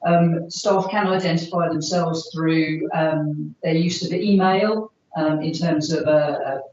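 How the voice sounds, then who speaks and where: A woman's voice: sits low, takes it slowly, a little mellow, slight background noise. A woman answering questions at a formal meeting as a park authority officer, hesitant and full of fillers. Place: meeting room. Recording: roomy, distant, dull recording.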